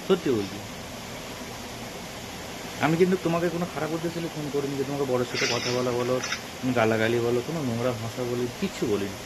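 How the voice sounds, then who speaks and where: A man with a Bengali accent making a prank phone call in casual, insistent, questioning speech. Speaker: prank caller hosting own channel. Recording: very noisy, loud hiss and traffic rumble.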